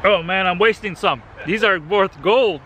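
Excited bright-voiced man outdoors. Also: high voice, a little slow, slight background noise. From El Salvador. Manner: enthusiastic, exclaiming over the fruit. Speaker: gardening channel host. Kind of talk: casual outdoor vlog chat. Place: residential yard outdoors. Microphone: handheld camera at arm's length.

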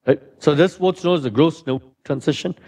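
A middle-aged man with a loud voice giving a physics lecture in a lecture hall, thinking aloud with many fillers and "right?" tags. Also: on the high side, brisk. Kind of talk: academic lecture.

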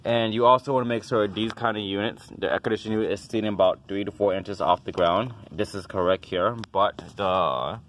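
Man, very deep voice, casual rambling HVAC walkthrough, dismissive asides, handheld phone recording, slight background hiss. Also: a bit bright. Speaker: house inspector and HVAC tech. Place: outdoors beside a house, then a basement utility room. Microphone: handheld phone camera.

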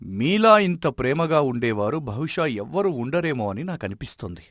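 A man voicing a respected village president who settles disputes in an animated moral story, talking in an avuncular way. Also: mid-range voice, normal speed, dry recording, studio quality. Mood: genial.

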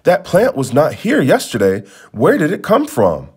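A man's voice: fairly high pitch, wildly swooping pitch, normal speed, darkish tone, breathy edge, voice pushed up, exaggerated voice. A man acts out a scheming storybook stepmother. She is bossy and menacing, with the air of someone used to giving orders. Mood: alarmed.

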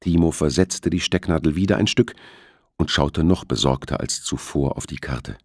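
A man with a husky voice reading a thriller novel as an audiobook narrator. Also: rumbling low, normal speed, a little mellow, dry recording, studio quality.

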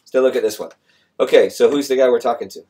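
A middle-aged American man hosts a live stream in a casual, chatty way. His voice sits at an average pitch as he answers and talks with the audience. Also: quick pace, dark tone. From United States. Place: small indoor room, seated.

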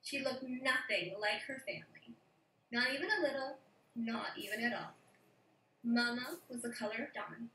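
A young woman, an early childhood educator, reading a picture book aloud to children over a video call from a room at home, gentle and expressive. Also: a little high, moderate pace, slight background noise. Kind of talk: read-aloud storytelling for children. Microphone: video call from a laptop. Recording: echoey room, distant laptop mic.

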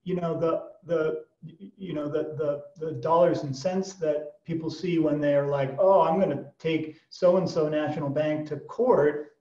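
An American man in his fifties answering interview questions conversationally, with halting false starts and asides. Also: a little high, fairly even intonation, warm tone, muffled recording. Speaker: auction executive, podcast guest. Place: small plain room indoors. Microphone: computer mic on video call. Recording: boxy, echoey video call, distant mic.

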